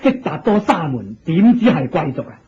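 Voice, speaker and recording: An actor with a Hong Kong Cantonese accent playing a hearty, curious, gracious king of ancient India in a Buddhist radio drama, in an expressive, exclamatory manner. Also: on the high side, normal speed, breathy edge, heavily acted. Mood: astonished, excited. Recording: dull lo-fi radio drama recording.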